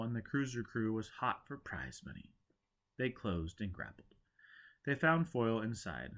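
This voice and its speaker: A man's voice: lowish voice, fairly bright tone. A man narrating a scripted story dramatically as an audiobook reader.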